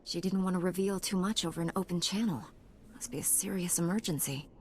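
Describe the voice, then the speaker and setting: Casting: actress as a determined young heroine knight in a space-opera game, somewhat low pitch, earnest and self-assured. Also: female voice, fairly quick, a little hiss or hum, close to an everyday voice. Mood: serious, focused. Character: resolute, confident young female Jedi knight hero. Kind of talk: scripted game dialogue.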